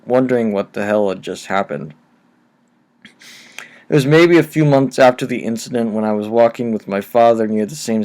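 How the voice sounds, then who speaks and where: A man reading first-person horror stories aloud in a steady, low-key storytelling manner, recorded on a cheap microphone. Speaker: narrator.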